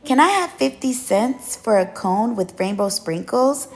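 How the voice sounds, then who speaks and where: A woman voicing exuberant, playful city children calling out to each other in a storybook, loud and emphatic. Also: fairly high pitch, animated, measured pace, pitched above the performer's own voice. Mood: eager shouting.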